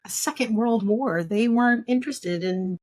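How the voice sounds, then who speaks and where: A woman's voice: a little high, moderate pace, darkish tone, dry recording. A woman co-hosting a casual book discussion, making her points emphatically and repeating them for stress. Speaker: podcast co-host.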